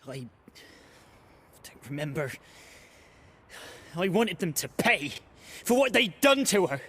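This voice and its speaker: A plain village fisherman from a fantasy game, haunted by lost love. His voice sits fairly high for a man. He talks in halting, rustic, emotional phrases. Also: very animated, bright, forceful tone, husky, exaggerated voice. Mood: distraught. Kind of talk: dramatic video game voice acting.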